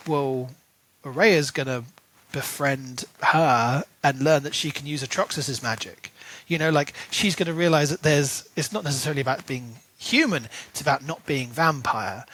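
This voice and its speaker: A man's voice: mid-range voice, low-quality recording. A man co-hosting a podcast, talking through a book in casual chat and briefly acting out characters' lines. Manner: animated, acts out characters' lines in quick asides. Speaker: podcast co-host.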